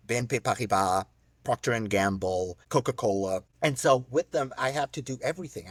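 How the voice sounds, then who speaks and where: A man in his forties, from South Africa and raised in Portugal, telling his career story as a podcast guest in an animated, self-joking way. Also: mid-range voice, slightly rough, dry recording. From born in South Africa, raised in Portugal. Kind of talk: casual interview chat.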